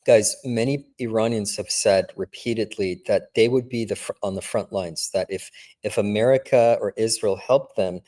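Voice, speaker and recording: Man, reaction host, warm dark tone, slightly low voice, direct emphatic commentary to the audience. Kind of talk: commentary addressed to viewers.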